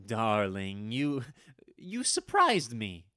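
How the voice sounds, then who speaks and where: Guy doing a nervous old henpecked man in a radio play, stammers, slow, voice jumps up and down. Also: on the low side, huge pitch swings, bright, forceful tone, dry recording, clean studio sound, heavily acted. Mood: nervous, flustered. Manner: stammering, hesitant, flustered. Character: nervous, henpecked old man recalling his witch wife. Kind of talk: old-time radio drama storytelling.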